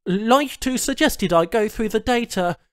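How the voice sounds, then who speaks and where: A man's voice: sits high, expressive, measured pace, fairly bright tone, no room sound, clean studio sound, pitched above the performer's own voice. A man playing an anxious, indignant human captive in a science fiction audiobook, protesting and questioning his captors with wry disbelief. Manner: protesting, questioning, wryly incredulous.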